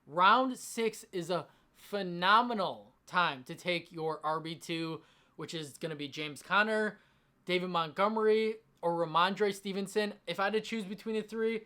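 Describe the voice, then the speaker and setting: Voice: mid-range voice, sharp tone, clear, resonant tone. Emphatic fantasy football host, slightly strained. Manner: emphatic, enthusiastic about the picks. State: voice slightly strained from long talking. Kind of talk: direct-to-audience sports advice commentary.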